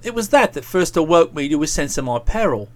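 A low-pitched young English gentleman from a comic storybook, as a male narrator puts him on. He is aggrieved and aloof, handing out pompous reproaches. His sarcasm is cold and drawled. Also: sing-song, fairly quick. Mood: musing, drawling.

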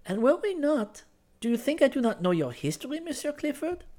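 A man's voice: very high voice, big pitch swings, fairly quick, a little mellow, pitched above the performer's own voice. A male narrator voicing a poised young Frenchwoman, teasing, candid and pointed, in a storybook reading. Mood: teasing, reproachful. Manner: pointed questioning and scolding, sprinkled with French exclamations.

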